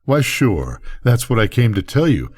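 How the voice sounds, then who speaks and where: A man's voice: medium pitch, very animated, normal speed, a little mellow. An older man voicing an easygoing, teasing rancher husband in a storybook, folksy and conversational. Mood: pleased. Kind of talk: bedtime storybook character voice.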